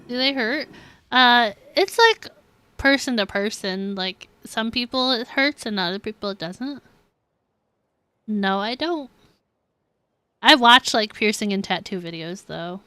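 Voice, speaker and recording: A young woman livestreamer chatting casually with viewers in a halting, conversational, giggly way while playing a game. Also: a little high, animated, bright, forceful tone, clean tone, dry recording. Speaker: game streamer.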